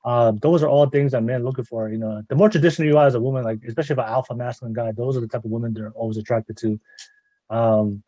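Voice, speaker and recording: A man's voice: mid-range voice, steady tone, fast, warm tone, no room sound, highs cut off. A man hosting a podcast in a casual interview style, rambling as he repeats and rephrases what he says. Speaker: podcast host.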